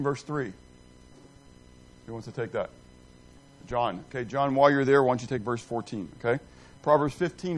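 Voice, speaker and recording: A middle-aged man teaching a Bible class in a rambling, self-deprecating, animated way, quizzing and joking with his group. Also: mid-range voice, rough recording.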